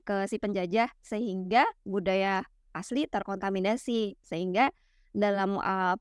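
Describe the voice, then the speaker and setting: A young woman from West Sumatra explaining ideas in a relaxed, teacherly chat, full of fillers and tag questions. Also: mid-range voice, a little slow, clean tone, no room sound, clean studio sound. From West Sumatra, Minangkabau. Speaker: guest explaining literary theory.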